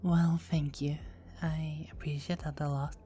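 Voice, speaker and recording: A woman's voice: sits low, flat delivery, measured pace, dark tone, faint music behind. Woman whispering as a teasing vampire girl. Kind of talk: ASMR roleplay.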